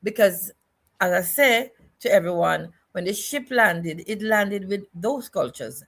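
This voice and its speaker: A woman's voice: mid-range voice, animated, unhurried, a bit bright. Jamaican woman reflecting with emphasis. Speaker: guest, choreographer and dance educator. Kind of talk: reflective interview conversation.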